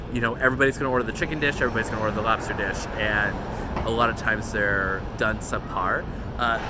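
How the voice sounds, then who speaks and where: A man giving a casual, unscripted food review in a loose, rambling way. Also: lowish voice. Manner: hedging, heavy use of "like". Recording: loud outdoor traffic noise.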